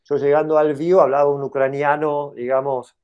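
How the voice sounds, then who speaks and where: A man's voice: fairly high pitch, little pitch movement, moderate pace, clear, resonant tone. A middle-aged man who grew up in New York, explaining at length as an interview guest, hesitant with many fillers and restarts. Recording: dull lo-fi audio.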